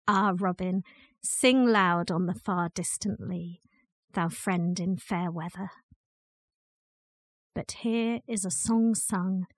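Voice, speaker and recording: A woman reciting a poem with measured, deliberate phrasing and pauses. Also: medium pitch, slightly rough, no echo. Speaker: volunteer or staff poetry reader. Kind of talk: poetry recitation.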